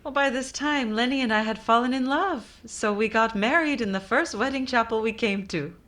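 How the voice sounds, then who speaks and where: Woman playing bright-voiced coquettish femme fatale. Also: fairly high pitch, moderate pace, lightly acted. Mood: wry narrating. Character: deadpan, coquettish married femme fatale recounting her tale. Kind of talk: old-time radio comedy acting.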